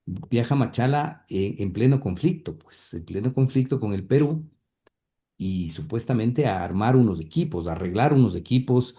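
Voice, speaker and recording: A man's voice: lowish voice, animated, moderate pace, dark tone. A man from Ecuador, recounting his grandfather's life as an interview guest, hesitant with many fillers and restarts. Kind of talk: conversational storytelling.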